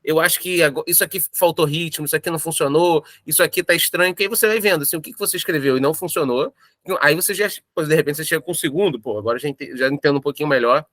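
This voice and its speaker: A man's voice: a little high, very fast, fairly bright tone, no echo. A Brazilian man chatting casually in an interview, informal and emphatic, often repeating phrases for stress. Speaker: audio drama creator. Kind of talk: casual making-of interview chat.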